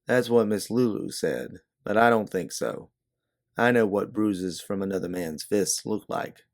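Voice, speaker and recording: Man acting out a deep, creaky streetwise doorman. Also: measured pace. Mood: gruff, frank. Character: big streetwise doorman, blunt, watchful, protective. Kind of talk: second-person storybook narration, character dialogue.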